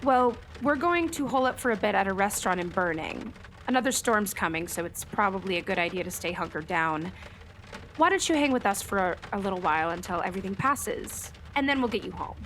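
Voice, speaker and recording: A woman's voice: mid-range voice, animated, brisk, a bit bright. A woman acting as an earnest, kindly television meteorologist in a naturalistic radio play, speaking articulately. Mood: friendly, concerned. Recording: faint background noise.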